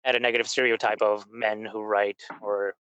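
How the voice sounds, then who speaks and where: A man's voice: lowish voice, little pitch movement, moderate pace, a bit bright, clean tone, no echo, dull audio. A man hosting a podcast, chatting casually and agreeably with his guest. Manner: easygoing, agreeable, laughs lightly. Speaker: podcast host. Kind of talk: casual interview chat.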